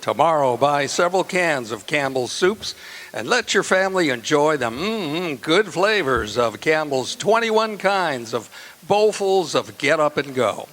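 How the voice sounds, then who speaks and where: A man's voice: a little high, sing-song, unhurried, fairly bright tone. A man narrating as the announcer of an old-time radio mystery and reading its sponsor copy in a scripted style.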